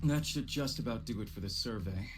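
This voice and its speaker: An actor playing a self-assured young investigator in a video game, breezy and casual in manner. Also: male voice, fairly low pitch, brisk, lightly acted. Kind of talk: English video game dub acting. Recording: game music behind the voice.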